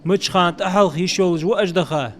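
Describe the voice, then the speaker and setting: A man's voice: high voice, fast, close to an everyday voice. A man voicing a male cartoon character in a dub. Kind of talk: cartoon dubbing.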